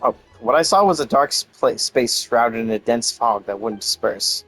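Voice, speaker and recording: A man's voice: medium pitch, lively intonation, normal speed, fairly bright tone, faint music behind, poor audio. A man giving casual, informal playthrough commentary, thinking aloud as he plays a game. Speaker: gamer playing and reacting.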